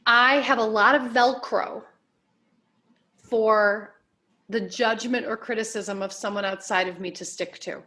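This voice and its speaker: A woman being interviewed as a life coach, giving advice in deliberate, emphatic phrases with measured repetition. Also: fairly high pitch, steady tone, bright, forceful tone.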